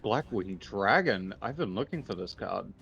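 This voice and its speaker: A man's voice: on the low side, animated, normal speed, creaky, fairly bright tone. A man playing a dry, touchy teenage birdwatcher in an improvised game roleplay, giving curt replies. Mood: agreeable, eager. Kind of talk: improvised Minecraft anime roleplay. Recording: dull lo-fi mic with music. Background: music.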